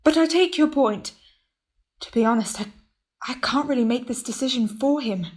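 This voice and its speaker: A woman voicing a measured, firm ship's captain in a radio play, narrating log entries and giving orders. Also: high voice. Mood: sober. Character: steady male ship's captain keeping a log. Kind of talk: amateur radio drama acting.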